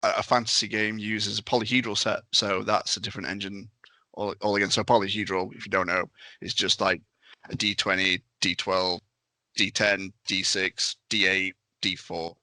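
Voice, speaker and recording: Man, forceful bright voice, lowish pitch, emphatic, matter-of-fact podcast guest. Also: flat delivery, normal speed, no room sound. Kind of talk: casual explanation.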